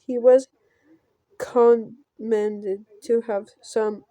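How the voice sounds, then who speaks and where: A woman doing a casual let's play, reading a visual novel aloud haltingly and breaking off for offhand reactions. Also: fairly high pitch, steady tone, mellow, dry recording, rough recording.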